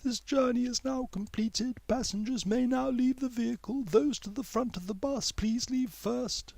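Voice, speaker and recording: A man voicing a polite automated bus announcer in a storybook, with measured, formal phrasing. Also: extremely high pitch, creaky, a little mellow, no echo, pitched above the performer's own voice, full character voice. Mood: polite, precise.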